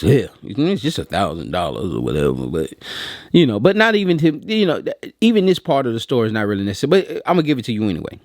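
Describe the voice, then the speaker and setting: A man with a low voice speaks in a dry-sounding space, as if swapping stories with friends. His delivery is informal and meandering, full of slang, and he voices both sides of remembered conversations. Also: no room sound. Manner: slangy, conversational, acts out quoted dialogue. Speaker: print shop owner telling a story to viewers. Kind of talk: casual rambling storytelling vlog.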